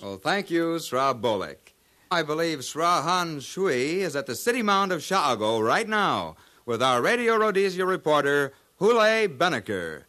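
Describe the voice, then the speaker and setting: An actor playing a formal news anchor in a 1950s radio drama, with an announcer's delivery. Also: male voice, a little high, big pitch swings, a little slow, sharp tone, full character voice. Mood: formal, announcing. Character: formal radio anchor handing over to reporters. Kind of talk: 1950s radio newscast acting.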